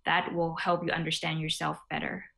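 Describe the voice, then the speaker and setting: A woman's voice: deep voice, level tone, fairly quick, gravelly, bright, forceful tone. A young woman from Thailand, a business founder, answering interview questions casually with many fillers over a video call.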